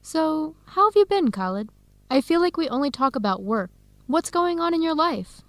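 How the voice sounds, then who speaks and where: A woman's voice: high-pitched, big pitch swings, moderate pace, fairly bright tone, no echo, heavily acted. An actress playing a friendly, curious, earnest young woman researcher in an educational radio drama, chatty and inquisitive.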